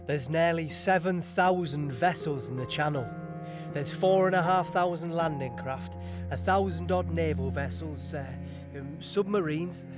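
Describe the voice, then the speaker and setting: A man's voice: fairly high pitch, a little slow, over music, natural voice. A man playing an earnest, fearful British soldier in an audio play, recounting battle in a reflective and emphatic way. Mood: awed, hushed. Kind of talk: dramatic first-person monologue in audio play.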